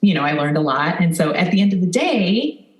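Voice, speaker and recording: An American woman talks in an echoey room as an interview guest. Her voice sits a little on the low side. She sounds earnest and encouraging, with the informal phrasing of an advocate in conversation. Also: very animated, brisk. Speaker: podcast guest, political advocate and former candidate.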